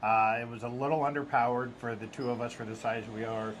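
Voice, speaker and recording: A man with a mid-range voice tells travel stories in a laid-back, joking way, filling pauses with uhs. Faint background ambience runs under his voice. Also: mid-range voice, a little hiss or hum, low-quality recording. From American Midwest. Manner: joking, relaxed anecdotes with acted quotes. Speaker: travel vlog co-host. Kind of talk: casual travel vlog storytelling.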